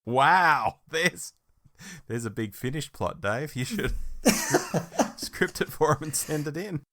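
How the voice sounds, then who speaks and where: A man's voice: a little high, lively intonation, slow, bright, forceful tone. A middle-aged man chatting casually as a podcast co-host, prompting his partner in a review discussion.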